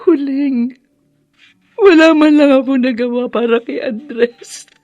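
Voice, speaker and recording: A woman acting a tender, devoted widowed mother in a radio drama, with a halting, emotional delivery. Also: high voice, a little mellow, no room sound, muffled recording, soft background music. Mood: tearful, regretful.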